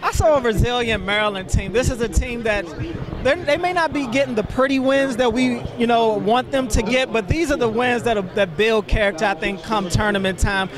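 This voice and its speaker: Young man, high-pitched, casual sports analyst, handheld mic, arena crowd noise behind. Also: moderate pace. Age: young adult. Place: on the court of a large indoor arena. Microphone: handheld microphone.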